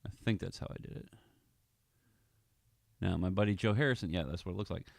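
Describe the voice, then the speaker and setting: A man's voice: sits low, brisk, gravelly, no echo, studio quality. A man giving a casual walkthrough of his audio drama editing, thinking aloud in fragments. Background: film score music.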